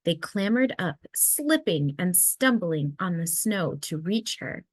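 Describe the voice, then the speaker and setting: Slow-paced woman reading a storybook. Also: fairly low pitch, lively intonation, no room sound. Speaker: storyteller reading a picture book aloud. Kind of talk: expressive children's read-aloud narration.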